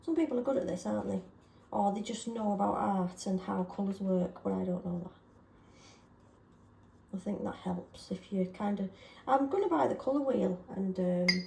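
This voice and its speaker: A woman chatting casually and self-deprecatingly while testing paint colours, slightly hoarse from a sore throat. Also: mid-range voice, darkish tone, reverberant, a little hiss or hum. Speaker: craft vlogger.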